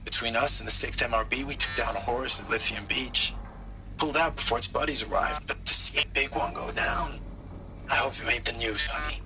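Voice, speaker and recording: An actor playing a tired, tender soldier recording a message home in a video game, soft and confiding. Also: male voice, medium pitch, fairly even intonation, brisk, noisy background, lightly acted. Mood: fond, wry. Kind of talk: intimate video game monologue.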